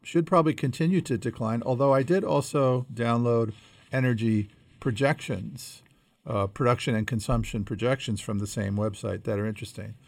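A man co-hosting a conversational podcast, explaining things in a measured way as he thinks aloud. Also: medium pitch, creaky, dry recording. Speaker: podcast co-host.

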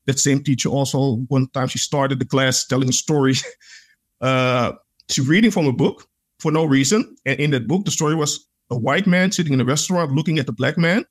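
A man's voice: medium pitch, fairly quick. A man, a podcast guest, telling his own experiences in an earnest, reflective way, with many "like" fillers. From Netherlands. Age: adult. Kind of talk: conversational interview storytelling.

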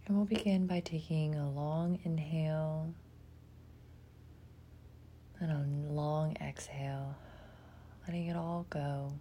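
Very slow, soft low-voiced woman guiding meditation. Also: fairly even intonation, a little hiss or hum. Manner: soft, breathy, gentle, with long pauses between short phrases. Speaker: guided meditation leader. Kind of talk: guided imagery meditation, slow and soothing.

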